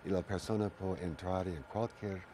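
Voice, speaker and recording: An older man with an Italian accent who is a native Italian speaker, answering interview questions in halting Spanish, searching for words and repeating phrases. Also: deep voice, steady tone, noisy background. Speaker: guest, Watsu originator.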